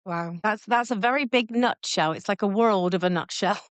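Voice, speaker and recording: A woman's voice: mid-range voice, moderate pace, no room sound. A woman hosting a podcast, reading an intro and asking her guest questions in an earnest way. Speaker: podcast host.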